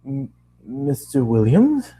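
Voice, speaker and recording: A man's voice: medium pitch, huge pitch swings, slow pace, dark tone, breathy edge, voice pushed up, full character voice, poor audio. Storybook lobby attendant, read by a man: mild, courteous, a little taken aback, everyday male voice. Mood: polite.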